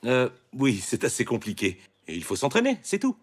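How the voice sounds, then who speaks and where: Un comédien qui joue un homme maladroit et pédant, hésitant, qui explique tout, dans un dessin animé pour enfants. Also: male voice, mid-range voice, wildly swooping pitch, normal speed. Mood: awkward, earnest. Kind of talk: French children's cartoon dubbing.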